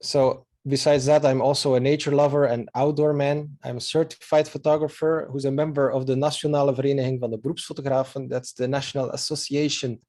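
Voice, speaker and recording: A man's voice: medium pitch, darkish tone. A man in his thirties from Belgium, a native Dutch speaker with a Belgian Dutch accent, giving an online presentation as a photographer in a hesitant, earnest way with many filler sounds. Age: 30s.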